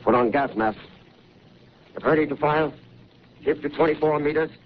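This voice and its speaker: An actor playing a disciplined artillery soldier calling out terse orders in a 1930s radio drama. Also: male voice, a little high, moderate pace, lightly acted. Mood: brisk, commanding. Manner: terse, barked military calls. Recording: muffled, noisy 1930s radio recording.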